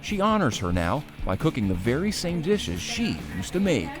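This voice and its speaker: Female reporter, very animated pitch, scripted feature voice-over, music bed clear beneath. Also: normal speed. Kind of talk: scripted news narration.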